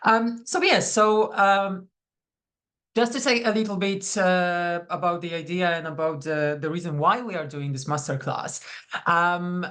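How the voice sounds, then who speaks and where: A woman's voice: on the low side, a little slow, a bit bright. Welcoming woman coach. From Poland. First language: Polish. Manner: welcoming, chatty, greets attendees by name. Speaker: psychonutrition coach. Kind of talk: live online masterclass. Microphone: video call.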